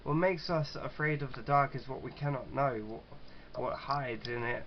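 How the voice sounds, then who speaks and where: A man casually hosting a let's-play, chatting and reading game text aloud in a halting, stumbling way. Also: medium pitch. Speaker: gaming channel host. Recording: dull lo-fi mic with clear background noise.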